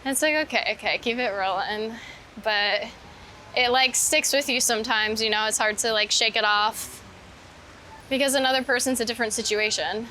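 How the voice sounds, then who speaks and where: Woman w bright highish voice, super casual chatty, says like a lot, street noise behind her. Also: fairly even intonation, moderate pace. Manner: informal, heavy use of "like". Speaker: interview guest, street photographer. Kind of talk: casual chat while walking. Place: outdoors on a city street. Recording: clear outdoor street noise with traffic. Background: street ambience and vehicles.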